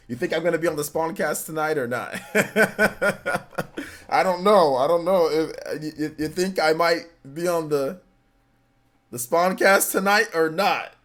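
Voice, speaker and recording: A man's voice: a little high, normal speed, fairly bright tone. A man in his forties chatting casually as a streamer, loose and filler-heavy, answering chat questions and laughing easily. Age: 40s. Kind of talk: casual livestream Q&A.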